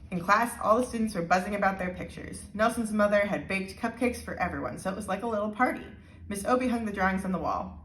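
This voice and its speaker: A woman reading a children's storybook aloud with expressive narration. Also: medium pitch, fast talker. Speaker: storybook reader. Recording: roomy, echoing indoor sound.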